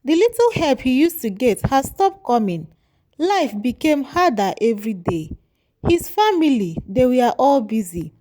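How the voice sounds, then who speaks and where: Female folktale narrator: measured and moralizing, unhurried, mellow tone. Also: high voice, sing-song. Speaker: storyteller.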